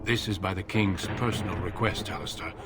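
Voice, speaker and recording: Actor as a low-voiced, grave warrior mentor. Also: male voice, close to an everyday voice. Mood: grave, firm. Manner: measured, solemn, authoritative. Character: grave, dutiful older male warrior mentor. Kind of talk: dramatic fantasy video game dialogue. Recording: clear background noise.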